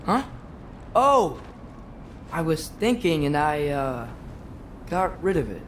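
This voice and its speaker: Boyish teen game hero, earnest and kinda defensive, hesitant, background noise. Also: male voice, a little high, sing-song, slow, a bit bright, heavily acted. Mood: sheepish. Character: earnest teenage boy, restless and defensive. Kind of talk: naturalistic video game cutscene acting.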